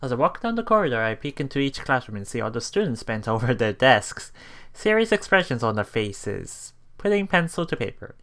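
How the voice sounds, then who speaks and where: A man doing a let's play, reading story narration aloud with casual commentary, halting and chuckling as he goes, with game music behind him. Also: mid-range voice, very animated, fairly bright tone. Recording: voice over game background music.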